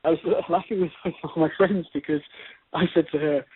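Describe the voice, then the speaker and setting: A man speaking as an astrological reader in a conversational debate, choosing words in a measured, halting, deliberate way. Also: fairly high pitch, steady tone, slightly rough, no echo. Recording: tinny, band-limited radio or phone-line audio.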